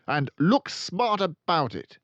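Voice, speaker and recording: A tyrannical headmistress from a children's storybook, played by a man, pitched far above a man's talk and very loud. She barks, booms and screams like a brutish bully, with wild swoops in the voice. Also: big pitch swings, slow, no room sound, dull audio, heavily acted. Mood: barking orders. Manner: barking, booming, screaming insults.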